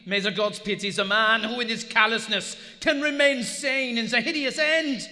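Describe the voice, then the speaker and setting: High-voiced, crazed submarine lieutenant, echoing hall. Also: male voice, fairly even intonation, normal speed, pushed and bright, exaggerated voice. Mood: frenzied, pleading. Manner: melodramatic, fervent, portentous delivery. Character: crazed, fervent German submarine lieutenant, a grown man haunted by visions. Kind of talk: live radio drama acting. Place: on stage in a large historic theater. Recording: live theater hall with strong reverb.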